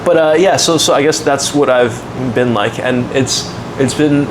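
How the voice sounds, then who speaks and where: A man's voice: medium pitch, moderate pace. A man in his twenties from Canada, hosting a casual podcast chat, hesitant and full of fillers, laughing often. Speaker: podcast host. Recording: noisy room with air conditioning hum.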